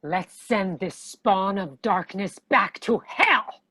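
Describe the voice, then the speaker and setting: A woman's voice: mid-range voice, expressive, takes it slowly, bright, forceful tone, breathy edge, dry recording, heavily acted. A woman playing a bossy, decisive demon hunter in a comedy table read, with a commanding, dry manner. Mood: determined.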